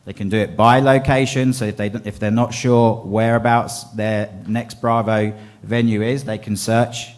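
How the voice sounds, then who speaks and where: A man presenting product features at a conference, brisk with frequent ums. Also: lowish voice, level tone. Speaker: company representative presenting at a conference. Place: conference hall.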